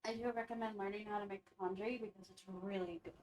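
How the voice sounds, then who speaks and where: A woman's voice: medium pitch, fairly even intonation, fast, a little mellow, a little hiss or hum. A woman livestreamer giving casual gameplay commentary, thinking aloud as she plays. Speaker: livestreamer playing a game.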